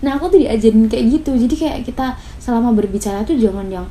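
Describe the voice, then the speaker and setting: Young Javanese woman, mellow voice. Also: on the high side, quick pace, a little hiss or hum. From Semarang, Java, Indonesia. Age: 20s. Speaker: interview guest, student radio announcer. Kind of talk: casual interview chat.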